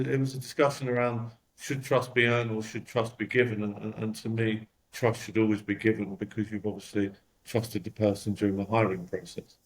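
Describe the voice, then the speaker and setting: A man's voice: fairly low pitch, poor audio. A man with a London accent, a company CEO answering interview questions in a candid, reflective way with frequent fillers. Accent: London English. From London, England. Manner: reflective, with frequent "um" and "you know".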